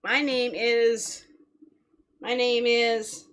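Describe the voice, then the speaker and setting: Playful high-voiced woman streaming. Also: flat delivery, very slow, fairly bright tone. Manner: playful, giggly, chatty. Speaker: video game livestream host. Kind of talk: casual livestream chat with viewers. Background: music.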